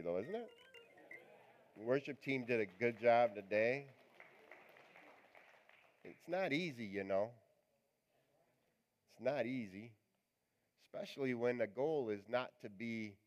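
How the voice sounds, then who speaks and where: A male pastor with a raspy voice, preaching a live church sermon in a chatty, joking, rambling way. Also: medium pitch, a little mellow, dull audio. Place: church sanctuary.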